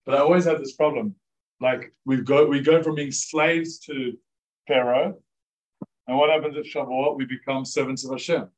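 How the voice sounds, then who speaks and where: Man, rabbi, informal class, conversational, mid-pitched, asks the audience questions, uses lots of fillers. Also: fairly even intonation, normal speed, rough recording. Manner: casual and chatty, many "like" fillers, questions to the audience. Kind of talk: informal interactive lecture. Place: room with a live audience of students.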